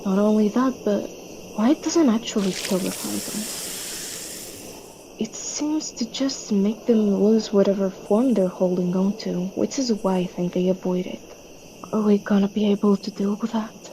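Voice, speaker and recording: A woman's voice: medium pitch, a little slow, mellow, a little hiss or hum, close to an everyday voice, rough recording. A woman playing an anxious, driven young female leader in a webtoon fan dub, speaking with earnest, emphatic intensity. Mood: tense, subdued.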